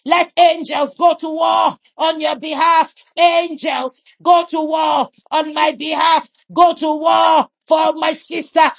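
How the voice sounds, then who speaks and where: A woman leading a fervent prayer, shouting repeated declarations and speaking in tongues. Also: high voice, vocal fry. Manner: chanting, repetitive, declaring. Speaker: prayer leader.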